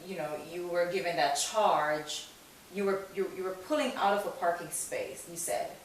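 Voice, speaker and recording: A woman's voice: fairly low pitch, normal speed, a little hiss or hum. A woman hosting a talk show in a conversational way, supportive, inquisitive and emphatic. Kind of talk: conversational interviewing. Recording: very echoey room, distant mic.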